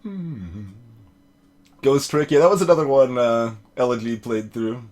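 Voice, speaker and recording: A man's voice: mid-range voice, unhurried, darkish tone. A man streaming a voiced game playthrough, chatting casually with his viewers over game music. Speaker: streamer doing a voiced playthrough of a visual novel. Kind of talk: casual live stream chat. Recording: music playing behind the voice.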